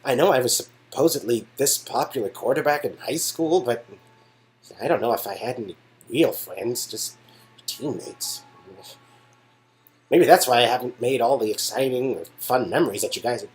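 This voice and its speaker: A man voicing a gentle, insecure former quarterback, reading the game's dialogue in a hesitant, earnest way. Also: medium pitch, normal speed, close to an everyday voice, low-quality recording. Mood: wistful. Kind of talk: reading game dialogue aloud.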